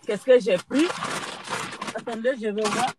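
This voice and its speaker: A woman from Guinea chats casually on her live stream, her voice sitting high for her sex. She talks hesitantly, with frequent fillers. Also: fairly even intonation, a little slow, very noisy. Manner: chatty, hesitant with many fillers.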